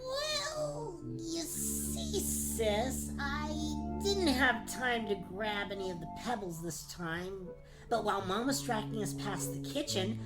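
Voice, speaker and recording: A woman voicing a dim, sheepish, excitable young boy with a hesitant, rambling manner in a comedic gag-dub radio play. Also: fairly high pitch, slow, loud music behind the voice, poor audio.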